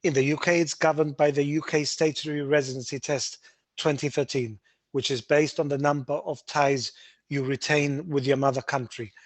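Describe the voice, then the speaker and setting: A man hosting a webinar, explaining tax residency rules in a brisk, emphatic way with frequent restarts. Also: fairly high pitch, fairly even intonation, no room sound. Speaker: webinar host, Gibraltar tax adviser.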